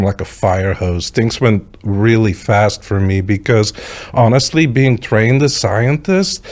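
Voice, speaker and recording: Low-pitched middle-aged Belgian man. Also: darkish tone. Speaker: podcast guest. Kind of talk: personal storytelling in conversation.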